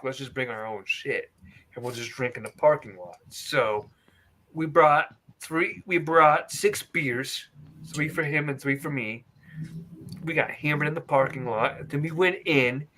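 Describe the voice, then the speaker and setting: Bright-voiced chatty man rambling on. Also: fairly high pitch, slight background noise. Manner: rambling, chatty, keeps apologizing for going off topic. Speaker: podcast co-host. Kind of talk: casual podcast chat.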